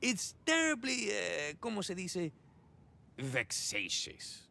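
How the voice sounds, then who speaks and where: Video game hero: swaggering male cyborg soldier, Mexican-American accent, very animated melody, hesitant rambling asides peppered with Spanish. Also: high-pitched, slow, creaky, a little hiss or hum, heavily acted. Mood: musing, wry. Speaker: playable game character. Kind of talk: video game idle monologue acting.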